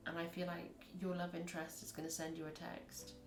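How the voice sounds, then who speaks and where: Woman, husky, low pitched, chatty tarot reading, echoey room. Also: monotone, fairly quick, over music. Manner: rambling, chatty, acts out little scenes. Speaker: tarot reader. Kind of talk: casual tarot reading to camera.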